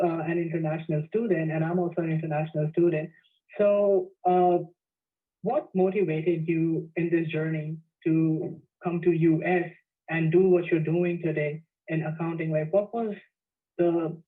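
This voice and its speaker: A man's voice: fairly high pitch, steady tone, measured pace. Young man over tinny call audio. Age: young adult. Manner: hesitant questions with "um" and "like". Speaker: student interviewer, host. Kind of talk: casual interview.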